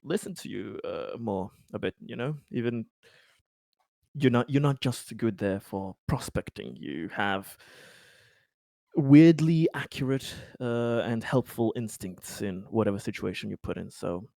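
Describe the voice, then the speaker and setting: A man speaking haltingly and hesitantly, groping for words as he plays out dialogue in a tabletop roleplaying game. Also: lowish voice, vocal fry, warm tone, no room sound, clean studio sound.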